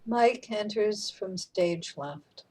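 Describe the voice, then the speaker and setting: A woman's voice: mid-range voice, expressive, extremely slow, a little mellow. A woman narrating scripted stage directions for a radio drama.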